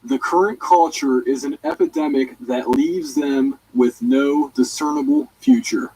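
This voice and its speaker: A man's voice: fairly high pitch, sing-song, a little slow, gravelly, darkish tone, low-quality recording. A man reading a written letter aloud as an amateur narrator, with emphatic, declarative delivery.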